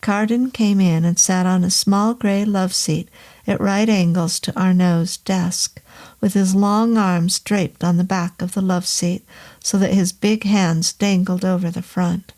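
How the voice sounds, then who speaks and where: A woman reading a horror novel aloud as narrator, in a measured, even way. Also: fairly low pitch. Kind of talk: audiobook narration.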